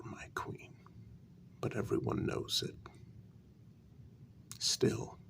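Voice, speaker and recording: Man acting as devoted human consort to a vampire queen, ASMR role-play, heavily fried low voice, slow deliberate delivery, lingering pauses. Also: heavily acted. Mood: sheepish, musing. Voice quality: breathy, creaky.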